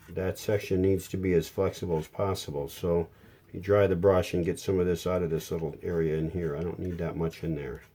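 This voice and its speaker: A man's voice: low-pitched, mellow. A man giving a casual hands-on how-to, explaining each step plainly and without hurry as a repair hobbyist.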